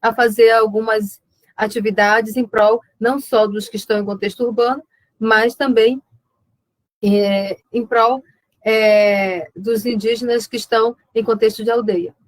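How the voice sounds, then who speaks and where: A woman's voice: fairly high pitch, steady tone, measured pace, dry recording, low-quality recording. A woman with a northern Brazilian accent talking conversationally as a guest in a web conference, reflective and often repeating words as she searches for the next one. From Amazonas, Brazil (Alto Solimões).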